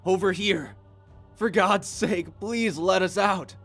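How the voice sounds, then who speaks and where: A man's voice: on the high side, extremely slow, faint music behind, pitched above the performer's own voice. A man voicing a shrieking, terrified prisoner. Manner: shrieking in terror. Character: panicked male prisoner trapped below.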